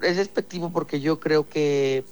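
Hoarse Mexican woman telling a story. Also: low-pitched, moderate pace, darkish tone. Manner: informal, storytelling, slangy. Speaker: radio contributor. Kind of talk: casual chat.

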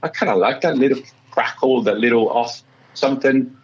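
A man with a slightly raspy voice, a musician chatting excitedly in an interview and often restarting his sentences. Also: mid-range voice, a little fast, lo-fi sound. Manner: excitable, rambling with many restarts. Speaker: musician guest. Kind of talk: casual interview chat.

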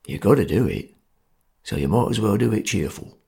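A grim Cockney ringleader, voiced by a male narrator, with creaky, rough tone. He bosses people sternly and menacingly in few words. The delivery suits a storybook villain. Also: lowish voice, expressive, normal speed, darkish tone, voice pushed down, full character voice. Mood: stern, bullying. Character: grim, domineering male ringleader of bungling conspirators.

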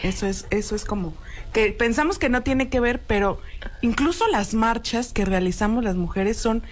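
Woman, 30s, talk-show guest, earnest explainer, slightly high voice. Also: normal speed. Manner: earnest, explanatory, frequent tag questions. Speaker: guest expert. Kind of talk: radio talk show discussion.

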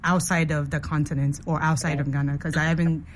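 A woman's voice: low voice, flat delivery, fairly quick, a little hiss or hum. A woman who organizes a fashion masterclass, answering questions in a thoughtful, explaining way. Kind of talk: casual interview.

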